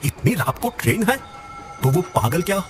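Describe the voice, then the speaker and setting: A man voicing an uneasy, puzzled male traveller in an animated horror story, questioning and jittery. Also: high voice, normal speed, soft background music, voice pushed up.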